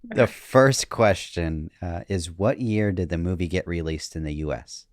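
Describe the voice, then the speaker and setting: Male podcast co-host. Very deep voice, conversational interviewing, close dry recording. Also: unhurried, no room sound. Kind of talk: casual interview chat.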